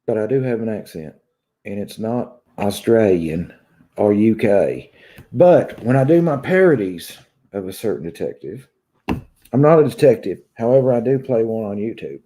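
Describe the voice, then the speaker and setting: A man's voice: fairly low pitch, big pitch swings, normal speed, dark tone. A man in his thirties with a Southern Kentucky drawl, chatting casually to his viewers as a vlogger. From Kentucky, United States. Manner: drawling, conversational. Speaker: YouTube vlogger. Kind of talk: casual chat to viewers, venting. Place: small room at home, indoors.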